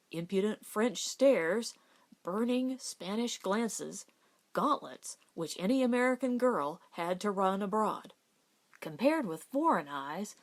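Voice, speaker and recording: A woman reading a novel aloud as an audiobook narrator. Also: a little high. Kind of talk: scripted literary narration.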